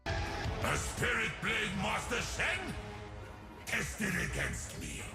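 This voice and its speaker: An actor playing a menacing, grandiose demonic warrior in a video game, gravelly and theatrical. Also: male voice, high-pitched, wildly swooping pitch, takes it slowly, heavily acted. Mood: menacing, taunting. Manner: theatrical, threatening, drawn out. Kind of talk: video game villain voice lines. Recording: echoing game clip under loud music.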